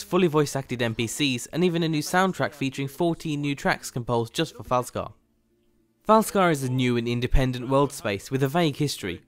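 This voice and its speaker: A man hosting a game mod video review, reading scripted narration. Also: mid-range voice. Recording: faint music behind the voice. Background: faint music.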